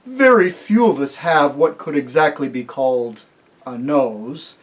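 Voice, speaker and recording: A storybook reader voicing a gentle, thoughtful she-elephant who talks mildly and unhurriedly. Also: medium pitch, animated, natural voice. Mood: musing. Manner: mild, musing, unhurried.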